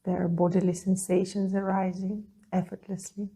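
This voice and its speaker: A woman leading a guided meditation, speaking in a soothing, gentle way with long pauses between phrases. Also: mid-range voice, flat delivery, slightly rough. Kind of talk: guided meditation, calm instruction.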